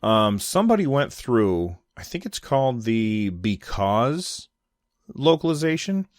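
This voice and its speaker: A man's voice: mid-range voice, sing-song, measured pace, no echo, studio quality. An American man giving casual, unscripted solo commentary on gaming news, thinking aloud in loose conversational phrases. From United States.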